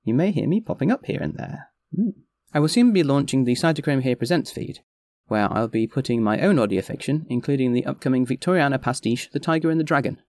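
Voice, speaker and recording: A man with a northwestern English accent delivers a scripted podcast announcement with playful theatricality. The voice is mid-pitched and gravelly, recorded dry with faint music. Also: animated, fast talker, darkish tone, no echo. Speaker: audio drama creator and host.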